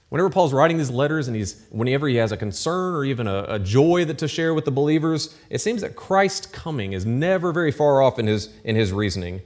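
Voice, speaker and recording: A pastor in his thirties preaching from a pulpit in a church. He explains scripture in a relaxed, conversational way. He adds small asides and checks that the listeners are keeping up. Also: medium pitch. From United States. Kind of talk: sermon. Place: church stage with pulpit, indoors. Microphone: lectern mic at pulpit.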